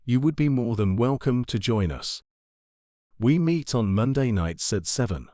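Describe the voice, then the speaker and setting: A man's voice: mid-range voice, lively intonation, a little slow, warm tone, no echo, clean studio sound, close to an everyday voice. A man playing a courteous, hospitable older churchgoer in an audio drama.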